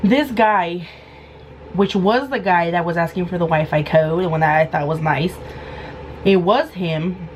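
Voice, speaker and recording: A woman in her thirties with a hoarse, sore throat, telling a casual storytime vlog and acting out the quoted lines. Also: on the low side, unhurried, a little hiss or hum. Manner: animated, acts out quoted lines. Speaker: vlogger.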